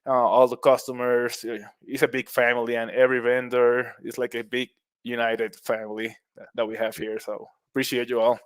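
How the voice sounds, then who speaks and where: A middle-aged man with a Puerto Rican accent talks casually as a podcast guest. His pitch stays flat and even, and the recording is close and dry. He keeps a friendly tone, laughs easily and often restarts his words. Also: normal speed, clear, resonant tone, dry recording. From Puerto Rico. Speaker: guest, mushroom business owner.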